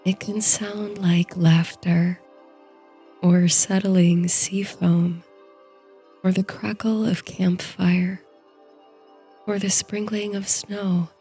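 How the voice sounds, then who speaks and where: A woman narrating a scripted bedtime story and guided meditation in a soothing, hushed, breathy way. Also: lowish voice, level tone, takes it slowly, pauses often, faint music behind. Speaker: host and narrator of a sleep story series.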